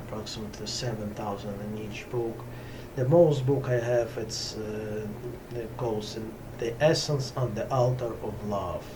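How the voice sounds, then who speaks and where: A middle-aged man, a yoga teacher talking unscripted to camera in a small home ashram. He speaks haltingly, pausing often to find words, with many fillers. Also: lowish voice. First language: Russian. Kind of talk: slow unscripted talk to camera. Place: small hot room in a Brooklyn home ashram. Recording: camera from a distance with steady background noise.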